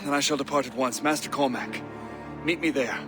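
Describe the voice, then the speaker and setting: A man's voice: medium pitch, a little fast, over music, natural voice. An actor playing a dignified, commanding army colonel in a game cutscene, formal and authoritative in his speech. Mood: brisk, grateful. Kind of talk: dramatic video game cutscene acting.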